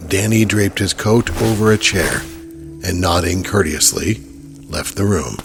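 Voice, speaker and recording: A man's voice: low-pitched, measured pace, creaky, over music. A man narrating a horror audio drama in a scripted storytelling style.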